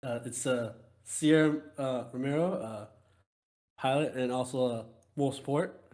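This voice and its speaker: A man's voice: mid-range voice, fairly even intonation, measured pace, a little mellow. A man chatting casually as a player in a tabletop role-playing game, hesitant and joking.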